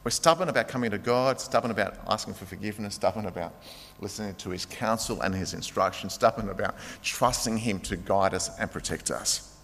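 A man's voice: fairly low pitch, brisk, gravelly, a bit bright. A male pastor preaching a sermon in a church, emphatic and urging his listeners to act now. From Greek heritage. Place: church sanctuary. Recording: long reverb of a large hall.

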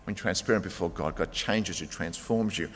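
A man's voice: low-pitched, moderate pace, fairly bright tone. Male preacher with an echoing hall sound. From Greek heritage. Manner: impassioned preaching, rising emphatic calls to act now. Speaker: pastor. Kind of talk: sermon. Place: church sanctuary. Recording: long reverb of a large hall.

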